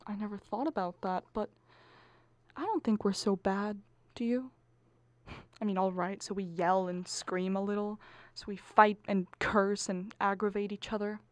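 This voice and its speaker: A woman's voice: mid-range voice, fairly even intonation, moderate pace, dry recording, lightly acted. A woman playing an anxious, bickering middle-aged wife and exasperated mother in a radio comedy play, pleading and arguing melodramatically. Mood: reflective, uneasy. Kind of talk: stage comedy acting for radio.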